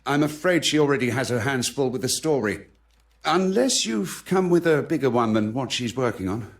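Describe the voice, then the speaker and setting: A man's voice: medium pitch, big pitch swings. A man playing a busy, shrewd newspaper man who is businesslike and dismissive in a mystery game. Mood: brisk, opportunistic. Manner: brisk, businesslike. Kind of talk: scripted game dialogue.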